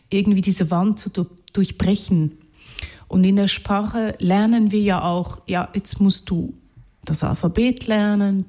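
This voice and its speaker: A woman's voice: fairly low pitch, dark tone. A woman with a Swiss accent and Hungarian as her first language, speaking reflectively as an interview guest, searching for words and correcting herself as she goes. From Switzerland, Zurich region.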